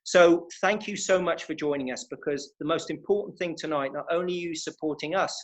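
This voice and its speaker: A man's voice: a little high, a little fast. A man from England's West Country with a West Country accent, hosting a fundraising interview evening with enthusiasm. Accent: West Country English. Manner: enthusiastic, welcoming. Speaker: interviewer and host.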